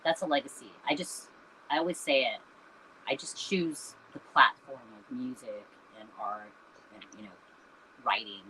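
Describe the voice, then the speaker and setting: A woman in her fifties talks openly about her music and her life in a bright, tense-edged voice. She is earnest and reflective, her sentences loose with fillers. There is a faint hiss of noise behind her. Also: a bass voice, rough recording. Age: 50s. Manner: earnest and reflective, with frequent "you know" and "um". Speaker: singer and band founder, interview guest. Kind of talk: candid conversational interview answers.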